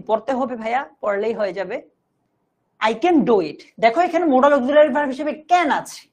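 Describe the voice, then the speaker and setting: A woman with a Bangladeshi accent teaching a live online grammar class, explaining step by step, slowly dictating examples and checking that students follow. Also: a little high. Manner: instructive, repeatedly checking understanding, slowly dictating example sentences.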